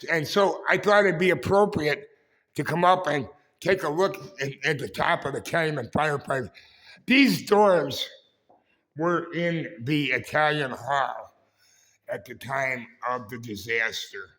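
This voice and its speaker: Raspy guy rambling slowly thru a tour of old doors, mid pitch. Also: fairly bright tone. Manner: rambling, halting, self-correcting. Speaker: vlog host. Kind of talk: casual walking-tour vlog. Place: indoors in a historic building.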